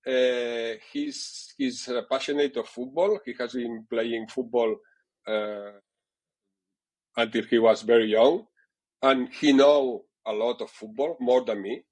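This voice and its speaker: A middle-aged man from Catalonia with a Catalan Spanish accent, speaking English as a second language and answering interview questions haltingly, with many fillers and repeated words. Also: medium pitch, a little mellow, rough recording. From Catalonia, Spain. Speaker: TV sports director, guest.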